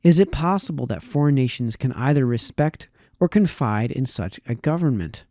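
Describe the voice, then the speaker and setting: A man reading a formal historical text aloud in a measured way, now and then stumbling over a word. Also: medium pitch, animated, mellow, no echo, studio quality. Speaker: reader.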